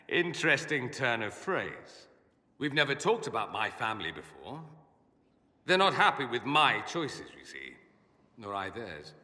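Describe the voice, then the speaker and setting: A man's voice: medium pitch, big pitch swings, unhurried, pauses often, pushed and bright, full character voice. A man playing a proud, wounded mage in a fantasy game, articulate and theatrical with cutting sarcasm. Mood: defiant, wry. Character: proud, sardonic, wounded adult male mage, estranged son. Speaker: voice actor in a fantasy video game. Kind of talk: dramatic game cutscene dialogue. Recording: long hall reverb.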